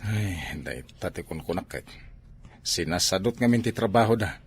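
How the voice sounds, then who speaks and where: A man acts a disapproving older brother from an Ilocano village. His voice is on the low side and husky, grumbling along in homespun comedy drama style. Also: animated, moderate pace. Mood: scornful. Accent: Filipino, Ilocano. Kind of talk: amateur comedy drama acting.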